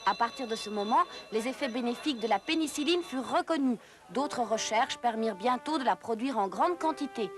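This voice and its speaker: A woman's voice: fairly high pitch, lively intonation, a little fast, over music. A woman narrator delivering scripted educational cartoon narration in a storytelling manner.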